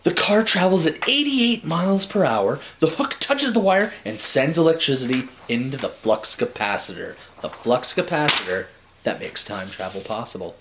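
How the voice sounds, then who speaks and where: A man's voice: fairly high pitch, sing-song, moderate pace, background noise. A man narrating a story like a storybook, theatrical and dramatic, with wry asides.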